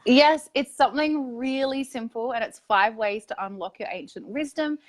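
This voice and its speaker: A woman's voice: high voice, normal speed. A woman guest on a casual online interview, speaking as a spiritual coach, blunt and upbeat. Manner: emphatic, blunt, upbeat, laughs easily.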